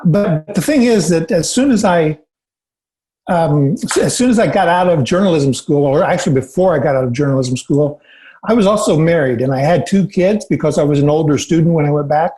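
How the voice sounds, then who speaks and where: A man in his sixties from Indiana, a podcast guest calmly recounting his career in an unhurried, self-assured way. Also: fairly high pitch, poor audio. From Indiana, USA. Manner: steady, self-assured anecdotes with filler ums. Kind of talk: relaxed storytelling interview.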